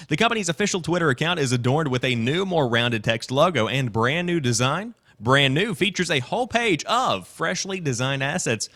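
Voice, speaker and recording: A man's voice: on the high side, fairly bright tone. A man hosting a gaming news show, mixing read-out news with his own off-the-cuff commentary.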